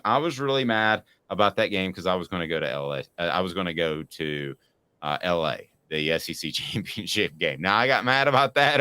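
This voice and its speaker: A man's voice: on the low side, bright, forceful tone, clear, resonant tone, no echo. A man in his forties hosting a sports talk show, telling rambling, self-mocking stories in a casual way. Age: 40s. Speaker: sports radio host.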